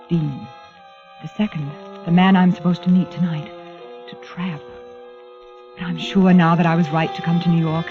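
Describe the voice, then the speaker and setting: A woman's voice: lowish voice, natural voice. An actress playing an earnest, anxious young woman with secrets, speaking carefully in a 1940s radio suspense style. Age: young adult. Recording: dull old radio recording, music behind.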